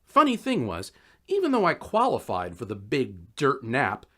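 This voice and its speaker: Guy reading an audiobook, wry and chatty, voice swings up and down a lot, middling pitch. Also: normal speed. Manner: wry, conversational, expressive. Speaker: audiobook narrator. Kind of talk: animated first-person storytelling, scripted narration.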